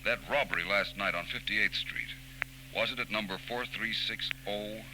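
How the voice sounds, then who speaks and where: An actor playing a confident, urbane amateur detective, polite and assured, in a 1940s radio drama. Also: male voice, lowish voice, natural voice. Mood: confident, knowing. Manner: assured, polite, unhurried deduction. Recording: noisy, humming old radio recording.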